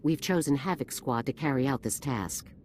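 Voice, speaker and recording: A stern female general in a video game, with a very low voice for a woman. She is formal and commanding, with faint ambient noise behind her. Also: expressive, moderate pace, darkish tone. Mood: authoritative. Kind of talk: video game dialogue acting.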